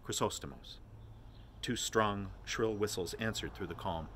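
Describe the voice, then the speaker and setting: A man reading literary prose aloud as an expressive narrator. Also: fairly low pitch, a little slow, a little hiss or hum. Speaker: volunteer reader of a novel.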